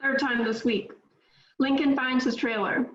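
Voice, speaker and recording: A woman reads screenplay directions at a table read, her voice a little high. The room is echoey and the sound is dull and lo-fi. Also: fairly even intonation, a little slow, fairly bright tone. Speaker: script reader.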